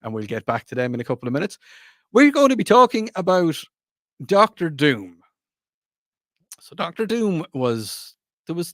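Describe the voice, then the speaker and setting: A man's voice: mid-range voice, dry recording. A man hosting a radio show, chatting casually in a playful, teasing, rambling way from a studio microphone. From Ireland.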